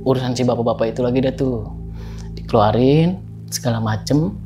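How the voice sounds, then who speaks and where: A man with a Betawi accent telling a story as a podcast guest, lively and slangy, acting out quotes. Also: mid-range voice, brisk, soft background music. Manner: animated, slangy, acts out quotes, laughs often. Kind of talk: casual spoken storytelling.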